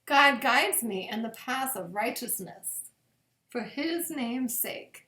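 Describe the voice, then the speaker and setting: A woman with a slightly high voice reads a bedtime story as a pastor would to children. She goes very slowly, phrase by phrase, leaving long pauses. The room around her adds a clear echo. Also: animated, bright, forceful tone. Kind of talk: gentle read-aloud of scripture picture books. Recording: echoey room.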